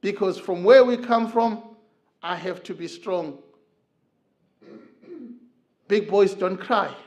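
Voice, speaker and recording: A middle-aged man from Zimbabwe speaking earnestly in church, telling personal stories with filler pauses. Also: sits high, darkish tone. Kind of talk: talk on men's mental health. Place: church hall.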